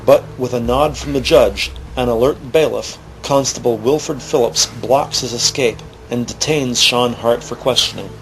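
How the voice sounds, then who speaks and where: A man reading scripted dramatic narration for an audio drama, deliberate and grave. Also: medium pitch, creaky, faint music behind, poor audio.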